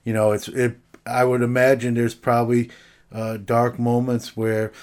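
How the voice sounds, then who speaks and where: A man's voice: medium pitch, monotone, normal speed, a little mellow, clean tone. A man talking casually and reflectively, in the conversational style of a sports talk show.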